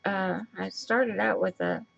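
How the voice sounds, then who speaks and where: Raspy woman with a stuffy nose doing a slow crafting vlog, dull lo-fi sound. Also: medium pitch, fairly bright tone. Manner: chatty, thinking aloud while working. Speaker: craft video host. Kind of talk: casual crafting tutorial.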